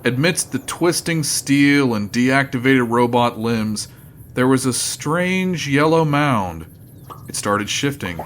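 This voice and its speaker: A man's voice: medium pitch, lively intonation, slow pace, a bit bright. A man playing a solemn, theatrical narrator of a sci-fi adventure audio drama. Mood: suspenseful.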